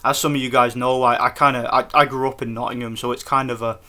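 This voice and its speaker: Man, Scottish-born, vlogger, casual review, excited, rambling, tense bright tone. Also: mid-range voice, quick pace. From Scotland. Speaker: boxing vlogger. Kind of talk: casual post-fight review.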